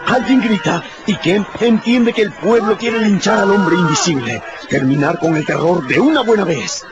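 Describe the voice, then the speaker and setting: A man's voice: sits high, expressive, normal speed, noisy background. A man giving theatrical, emphatic scripted narration for a radio drama. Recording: muffled, under prominent music. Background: dramatic music.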